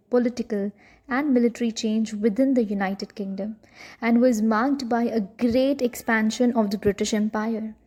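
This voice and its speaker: A woman's voice: a little high, warm tone. A woman reading scripted educational narration for a short history film.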